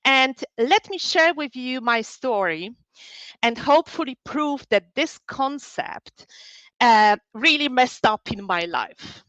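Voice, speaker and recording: A woman's voice: high-pitched, expressive, takes it slowly, fairly bright tone, no room sound, low-quality recording. A woman in her forties from Poland, giving a personal storytelling talk as a learning and development trainer in an online workshop.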